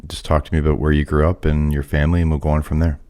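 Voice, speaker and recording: A man hosting a podcast, speaking in a measured, earnest way as he introduces and questions a guest. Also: extremely low pitch, flat delivery, a little mellow. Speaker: podcast host. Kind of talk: scripted monologue and interview questions.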